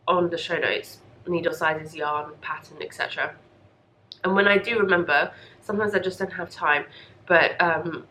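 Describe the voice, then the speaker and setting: Slightly bunged-up woman podcaster, lower-pitched voice. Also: a bit bright, a little hiss or hum, poor audio. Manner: rambling, self-deprecating, apologetic. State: congested and coughy from a lingering cold. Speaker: podcast host. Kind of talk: casual chatty video podcast. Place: home craft room.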